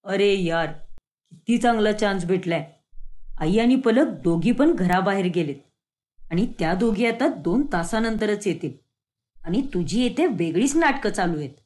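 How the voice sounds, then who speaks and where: A woman's voice: mid-range voice, lively intonation, moderate pace, darkish tone, pitched below the performer's own voice, natural voice. A woman voicing a harried young man caught between two women, pleading and exasperated.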